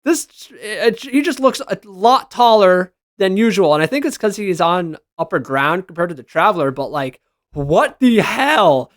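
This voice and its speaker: A man's voice: high voice, expressive, a little slow, no room sound, studio quality. A man giving casual, enthusiastic reaction commentary as a gaming video creator, with slangy exclamations.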